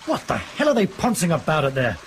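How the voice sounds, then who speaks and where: A man's voice: a little high, wildly swooping pitch, quick pace. An actor playing a flirtatious, bossy airline captain in a radio drama, bantering and touchy about his rank. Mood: peeved, bantering. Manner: bantering, stammering slightly when flirting. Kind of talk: broadcast radio drama acting. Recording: noisy vehicle background.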